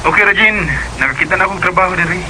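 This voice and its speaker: A man playing an earnest, gentle young suitor in Cebuano radio drama, with a Cebuano accent. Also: high-pitched, rapid-fire, a little hiss or hum, low-quality recording. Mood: affectionate.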